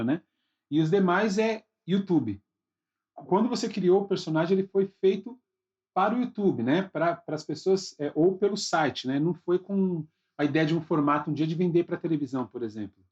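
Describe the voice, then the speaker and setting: A man's voice: on the high side, darkish tone, husky. A man with a Brazilian accent hosting a casual podcast interview, informal and often checking for agreement with tag questions. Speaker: podcast host. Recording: slightly dull, lo-fi sound.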